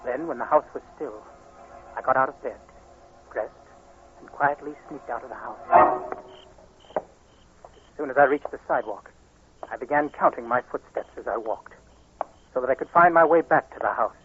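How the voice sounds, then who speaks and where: A man's voice: medium pitch, normal speed, long pauses, soft background music, natural voice. An actor playing an anguished, restless blind man in a dramatic 1940s radio style, with brooding delivery. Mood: brooding, tense. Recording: muffled, noisy 1940s radio recording.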